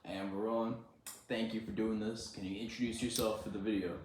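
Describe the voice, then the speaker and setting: Guy asking interview questions, lowish voice, says definitely definitely, echoey room with a bit of hiss. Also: flat delivery, brisk, darkish tone. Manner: brief acknowledgements, repeats words. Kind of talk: casual student interview.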